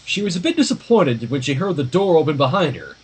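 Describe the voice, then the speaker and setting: Husky man reading a story aloud. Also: a little high, sing-song, fast talker. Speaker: livestream fan fiction reader. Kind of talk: informal story readaloud with asides.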